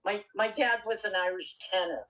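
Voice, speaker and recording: A woman speaking as a guest in a casual chat, earnest and halting, often repeating her words. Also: medium pitch, a bit bright. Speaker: guest speaker on a live audio room.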